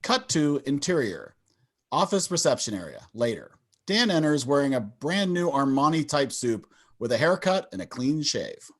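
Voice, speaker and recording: A man's voice: a little high, big pitch swings, moderate pace. A man reading stage directions as the narrator of a scripted table read. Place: video call at a table read.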